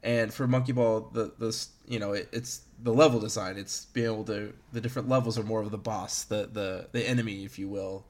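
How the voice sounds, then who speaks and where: A man's voice: lowish voice, fairly even intonation, fairly quick, hardly any pauses, a little mellow. A man in his early thirties chatting casually as a podcast host about video games, in a rambling, self-deprecating way. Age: early 30s.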